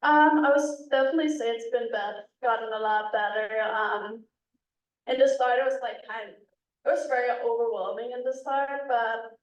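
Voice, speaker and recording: A woman's voice: fairly high pitch, steady tone, normal speed. A young woman from Denmark with a Danish accent, chatting casually about her exchange year at a club meeting, with plenty of ums and likes. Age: young adult. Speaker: exchange student. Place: meeting room. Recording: echoey room from far off.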